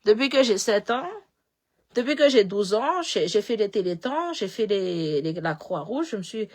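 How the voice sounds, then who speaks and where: A woman with a slight Malagasy accent talking in a casual, animated way, repeating words as she goes and switching between languages. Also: mid-range voice, moderate pace. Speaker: political commentator. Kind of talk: casual live talk.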